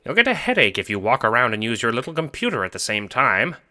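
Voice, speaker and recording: A man's voice: mid-range voice, very animated, quick pace, bright, forceful tone, pitched above the performer's own voice. A man voicing a matronly, scolding elderly grandmother in an audio drama. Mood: scolding, urgent. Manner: fussing, scolding.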